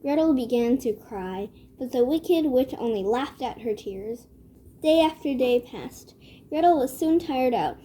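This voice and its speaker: A woman narrating a children's story from a script in a measured read-aloud manner. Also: high-pitched, mellow, slight background noise.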